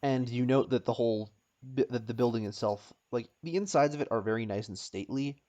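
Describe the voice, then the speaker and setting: A man runs a tabletop game over slightly dull, lo-fi audio. He improvises descriptions in a halting way, circling back and repeating phrases as he searches for the right word. His voice sits at an ordinary male pitch. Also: dark tone. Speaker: game master.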